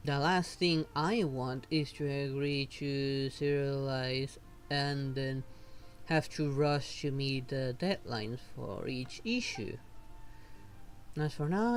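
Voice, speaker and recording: A streamer voicing a weary, earnest female novelist from a fantasy game in a halting, formal way. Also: mid-range voice. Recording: noticeable background noise.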